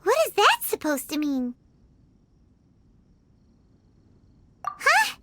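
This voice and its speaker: A woman voicing a tiny, excitable, childlike fairy companion with a squeaky voice in an anime video game. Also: a little slow, no room sound, full character voice. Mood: puzzled, indignant. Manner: exclamatory, talks of herself in third person.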